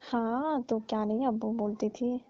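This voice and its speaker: A woman's voice: a little high, fairly even intonation, measured pace, no echo. A woman in a casual phone conversation, speaking in a dismissive, detached manner as she brushes off the other person. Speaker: call partner breaking off a relationship. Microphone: phone call. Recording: dull lo-fi phone call recording.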